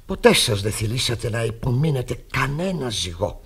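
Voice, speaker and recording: A stern pastor in an old-fashioned theatrical radio style. His husky voice rises and falls dramatically. He moralizes formally and emphatically, as a man easily scandalized. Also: medium pitch, very animated, a bit bright, exaggerated voice. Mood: stern, reproachful. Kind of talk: Greek radio theatre acting.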